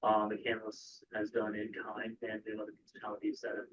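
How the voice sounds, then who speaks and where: Brisk council president, dull audio. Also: male voice, fairly low pitch, monotone. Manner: brisk, with many fillers. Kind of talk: chairing a meeting. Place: council meeting room.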